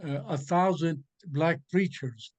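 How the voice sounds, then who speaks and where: Man, arabic accent, native arabic speaker, halting and full of fillers, higher voice, dry close sound. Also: dry recording. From Galilee, Israel. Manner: halting, many uh fillers, pressing questions. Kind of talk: impassioned questioning in an online gathering.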